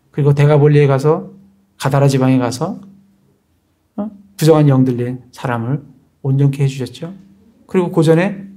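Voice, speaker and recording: A man preaches in a mellow, warm tone at an ordinary pitch for a man. He talks to the congregation like a conversation and keeps asking rhetorical questions. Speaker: pastor. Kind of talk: sermon.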